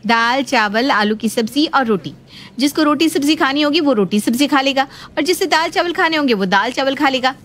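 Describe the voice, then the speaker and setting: Young daughter-in-law, high voice, naive chatterbox with a childlike streak, put on by a woman storyteller. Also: animated, a little fast, a bit bright. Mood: chatty. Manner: questioning, talkative.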